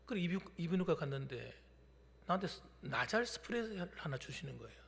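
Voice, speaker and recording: Man, pastor, 50s, lively pitch, gravelly voice, preaching with sudden whispers, church reverb. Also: fairly quick. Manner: emphatic preaching, swinging from loud declarations to hushed whispers. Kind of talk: sermon. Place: church sanctuary during a midweek service. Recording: long hall reverb from a church.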